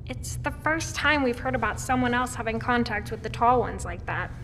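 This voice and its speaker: Woman as high-voiced, earnest young daughter. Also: lively intonation, moderate pace, fairly bright tone. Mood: curious. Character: earnest daughter of the captain, gently insistent. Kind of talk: audio drama acting. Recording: reverberant room with faint noise.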